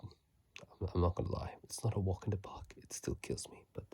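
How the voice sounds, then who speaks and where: An ASMR gaming host putting on a raspy, gravelly low voice for a hushed, drawn-out reading of game lore and tips into a phone microphone. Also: flat delivery, no room sound. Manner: slow, hushed, drawn-out delivery with throat clearing.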